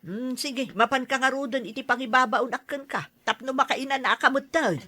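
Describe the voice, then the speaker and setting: A woman playing a caring, gently scolding mother in an audio drama, questioning and matter-of-fact. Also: sits high, a little fast. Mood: mildly scolding.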